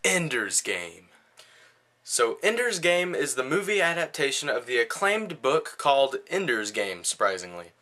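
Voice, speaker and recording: A man's voice: mid-range voice, big pitch swings, unhurried, pushed and bright, soft background music. A man giving a casual video movie review in a blunt, slangy, conversational way.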